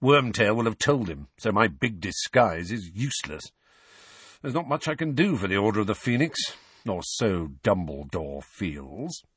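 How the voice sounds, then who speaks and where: A man's voice: lowish voice, expressive, moderate pace, a bit bright, slightly rough, dry recording. A man voicing a restless, bitter godfather in a storybook, with a dry, sarcastic, complaining manner. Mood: flat, resentful.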